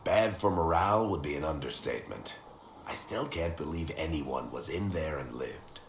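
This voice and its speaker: Roomy, echoing game audio with noise behind a very deep male voice. a worldly storyteller rogue, sardonic and conversational, as if swapping stories. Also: animated, normal speed. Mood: wry concern. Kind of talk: video game dialogue acting. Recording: roomy echo, clear background noise.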